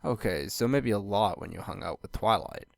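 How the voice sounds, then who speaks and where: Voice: low voice, expressive, normal speed, gravelly, no room sound, clean studio sound. A narrator reading a scripted fan fiction story aloud.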